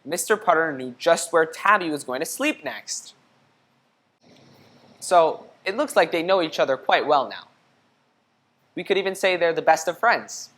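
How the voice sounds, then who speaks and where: A woman reading a children's storybook aloud in an expressive, teacherly way, pausing to put questions to young listeners. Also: sits low. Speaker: storybook reader.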